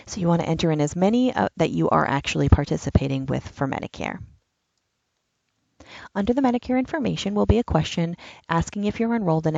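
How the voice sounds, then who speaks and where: Woman narrating a screen tutorial, slow and plain, kinda low pitched and muffled. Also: gravelly, darkish tone, no room sound. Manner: step-by-step instructional, plain and patient. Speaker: enrollment trainer. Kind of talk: screen-recorded software walkthrough.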